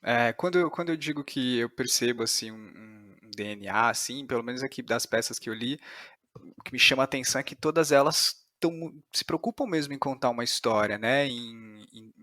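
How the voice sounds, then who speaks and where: A man's voice: medium pitch, brisk, sharp tone, no room sound, studio quality. A Brazilian man hosting a casual podcast interview, feeling out and rephrasing his questions as he goes.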